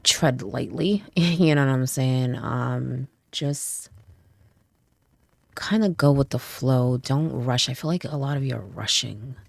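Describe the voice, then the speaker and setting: A woman's voice: very deep voice, takes it slowly, creaky, no room sound. A woman doing a casual tarot reading, a little stuffy from a cold, chatty and often checking in with "okay?" and "right?". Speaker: tarot reader.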